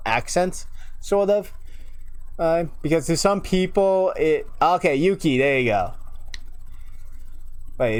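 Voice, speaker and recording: A man's voice: a little high, darkish tone, clean tone. A young man from New York chatting casually and rambling on a live stream. He often loses his train of thought, chuckles a lot, and has a slight unusual lilt left from a childhood speech impairment. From United States (New York). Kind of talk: casual rambling chat to viewers.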